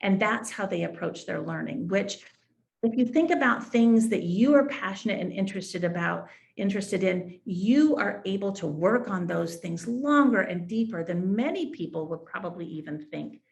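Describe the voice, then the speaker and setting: A woman's voice: mid-range voice, expressive, moderate pace, vocal fry. A woman educator giving an explanatory presentation on a school program.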